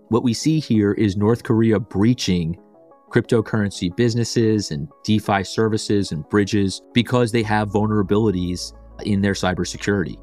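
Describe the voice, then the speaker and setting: A man hosting a podcast, reading a scripted intro then chatting with his guest in a measured, clear way. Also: lowish voice, a little mellow. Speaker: podcast host. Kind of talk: scripted intro then casual interview chat.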